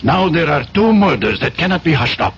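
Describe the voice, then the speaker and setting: An actor playing a cold, implacable Soviet agent in a radio drama, formal and deliberate in his menace. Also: on the high side, sing-song. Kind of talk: 1970s radio drama acting. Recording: dull, noisy old radio broadcast.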